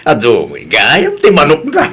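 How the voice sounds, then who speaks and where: A man's voice: medium pitch, huge pitch swings, slow, fairly bright tone, slightly rough, heavily acted. A man with an Ilocano accent playing an easygoing rural father-in-law in an audio drama, chatting in a folksy way. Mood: cheerful, scheming.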